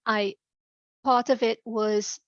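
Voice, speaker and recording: A middle-aged woman from Trinidad with a Trinidadian accent, guest on a podcast interview, speaking in a measured way and laughing often between points. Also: a little high, fairly even intonation, no echo, muffled recording.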